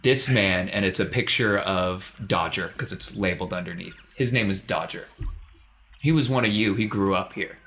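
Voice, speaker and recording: A man playing a stern young Imperial captain who delivers a solemn, deliberate propaganda address in a tabletop game. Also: sits low, sharp tone.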